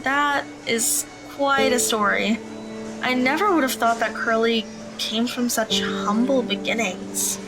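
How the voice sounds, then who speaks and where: A woman plays a reserved teenage schoolgirl in an audio drama. Her voice is fairly high, and she speaks politely and earnestly in a measured, matter-of-fact way over clearly audible music. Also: poor audio. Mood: earnest, curious.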